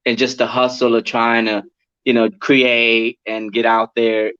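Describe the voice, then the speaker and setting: A man's voice: medium pitch, a little slow, clean tone. A man, an actor born in Puerto Rico and raised near Atlanta, chatting casually as a guest over a video call. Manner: relaxed, chatty, laughs easily. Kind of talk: casual interview chat. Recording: lo-fi online call audio.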